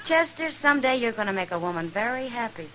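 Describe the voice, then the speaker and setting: A woman's voice: a little high, sing-song, quick pace, bright, forceful tone. An American actress playing a spirited, teasing, independent frontier woman in an old-time radio western. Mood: playful. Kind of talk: 1950s western radio drama acting. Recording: noisy old radio broadcast recording.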